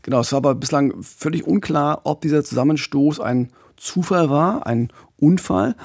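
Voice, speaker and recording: A man's voice: mid-range voice, vocal fry, a little mellow, slightly rough, no room sound. A middle-aged man co-hosting a true-crime podcast, telling a case conversationally into a close studio microphone. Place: small indoor podcast studio. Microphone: close studio condenser microphone with pop filter.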